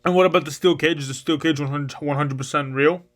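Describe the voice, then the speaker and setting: A man's voice: fairly high pitch, fairly even intonation, fairly quick, fairly bright tone. A man hosting a podcast, interviewing a guest with hesitant, restarting questions. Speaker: podcast host.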